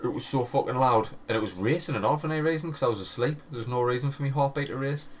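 A man's voice: medium pitch, fast, a little hiss or hum. A man co-hosting a podcast, chatting casually in a rambling, jokey way and swearing freely. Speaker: podcast co-host. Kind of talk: casual banter.

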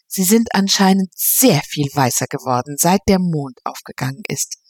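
A nervous, melodramatic young man who pleads breathlessly, as a woman reader voices him. The voice climbs higher as he frets, and the sound stays dry and close. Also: animated, moderate pace, darkish tone, dry recording. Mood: wistful. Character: thin, pale, anxious young man, melodramatic.

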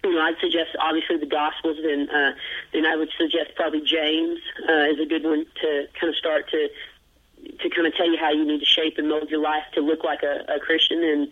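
A man with a noticeably high, light voice rambles earnestly through his answers, full of fillers and restarts. He pokes fun at himself as he talks. He comes through a narrow, tinny phone line. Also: little pitch movement, a little fast. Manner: self-deprecating humor. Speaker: guest. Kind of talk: casual interview chat.

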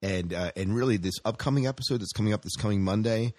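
A man with a low, deep voice talks as a podcast host in a casual, rambling style. His delivery is that of an enthusiastic fan, and the sound is dry and close. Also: fast talker, a little mellow, no room sound. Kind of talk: casual chat about sci-fi TV shows.

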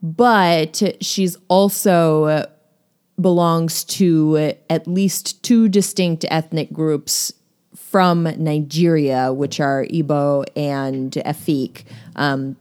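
A woman with a low voice talks through a novel in relaxed conversation. She leans on fillers and breaks into laughter easily, keeping it chatty and informal. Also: drawn-out words, clean tone. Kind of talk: casual book discussion.

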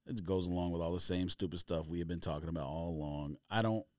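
A man's voice: deep voice, steady tone, fast, no room sound, studio quality. A man co-hosting a sneaker podcast, chatting casually in slang and pressing his points insistently. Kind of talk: casual chat and debate.

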